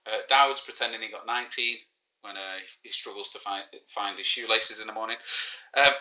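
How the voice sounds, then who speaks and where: A man in his forties with a clear Manchester accent hosts a live maths quiz for children. His voice is bright and forceful, and he talks in easy, joking banter, often teasing himself. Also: on the low side, fairly even intonation, a little fast, low-quality recording. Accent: Mancunian. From Manchester, England. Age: 40s. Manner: joking, self-mocking banter. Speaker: teacher hosting a live quiz. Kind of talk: casual livestreamed lesson.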